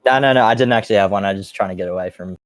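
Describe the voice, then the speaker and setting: A man's voice: fairly low pitch, rapid-fire, dry recording. A man co-hosting a podcast with casual, joking banter among friends. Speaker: podcast co-host.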